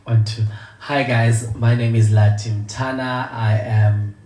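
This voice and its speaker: A South African woman speaking as a podcast guest, reflective and unhurried, laughing between thoughts. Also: extremely low pitch, fairly even intonation, dark tone. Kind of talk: casual panel chat. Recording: distant mic in an echoey room.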